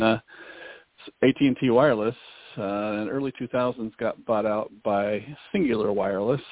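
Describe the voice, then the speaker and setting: Interview guest, man from the Pacific Northwest, low gravelly voice, casual and relaxed, dry phone-line sound. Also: no room sound. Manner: relaxed, casual, with many "um" and "uh" fillers. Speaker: guest, business broker. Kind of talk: interview answers.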